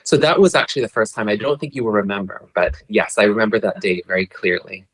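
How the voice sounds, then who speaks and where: A polite young man from Brunei with a Canadian-tinged English accent, chatting as a podcast guest over a remote call, soft-spoken and hesitant with frequent restarts. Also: fairly low pitch, expressive, fast talker, poor audio. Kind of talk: casual chat.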